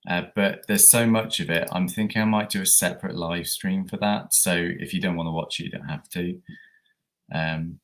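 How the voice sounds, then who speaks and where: Croaky low-voiced man. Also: steady tone, moderate pace. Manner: chatty, rambling with many fillers. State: croaky, coming down with a cold. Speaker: eBay reseller livestream host. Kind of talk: casual livestream chat.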